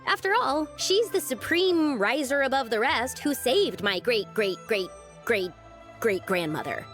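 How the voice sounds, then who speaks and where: A woman's voice: fairly high pitch, very animated, measured pace, a bit bright, soft background music. A woman playing an earnest, hesitant young woman in a video game. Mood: doubtful. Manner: hesitant, earnest, with stammers and sighs. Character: earnest, hesitant young woman from a strict tea-growing family. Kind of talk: video game character acting.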